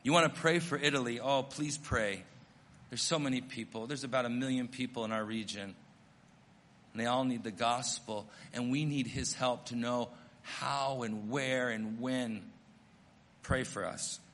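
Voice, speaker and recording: A middle-aged American missionary pastor preaching a sermon, telling stories in an earnest, self-deprecating way. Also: male voice, mid-range voice, fairly even intonation, unhurried, a bit bright, big-room sound. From United States.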